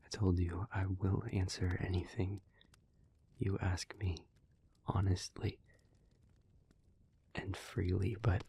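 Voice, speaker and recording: A man playing a gentle, solemn, remorseful demon in an intimate audio roleplay, speaking to the listener in a breathy, halting, earnest near-whisper. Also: deep voice, a little hiss or hum, full character voice. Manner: slow, halting, earnest, with long pauses.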